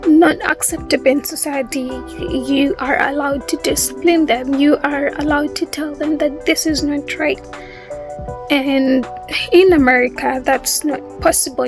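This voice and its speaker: Young Ugandan woman, high-pitched, unhurried. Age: young adult. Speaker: YouTube vlogger. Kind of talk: casual talk to viewers.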